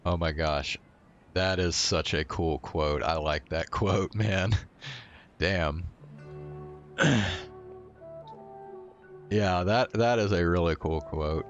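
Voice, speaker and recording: Slow, low-voiced laid-back man. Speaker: game streamer. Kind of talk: casual playthrough, reading dialogue choices aloud. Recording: dull, muffled mic under game music. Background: game music.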